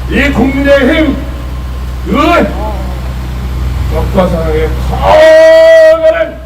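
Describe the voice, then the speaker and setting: A man's voice: very high-pitched, big pitch swings. A man giving an impassioned, declamatory protest speech, stretching key phrases in a slightly strained voice. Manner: declamatory, emphatic, drawn-out phrases. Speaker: political rally speaker. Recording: echoey, noisy outdoor loudspeaker, distant mic.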